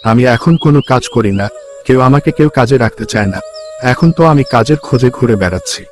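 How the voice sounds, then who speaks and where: A man's voice: medium pitch, a little fast, close to an everyday voice. Man playing earnest poor village farmer over music. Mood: downcast. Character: poor, hardworking, grateful married village farmer. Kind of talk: cartoon folk tale dialogue. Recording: music behind the voice.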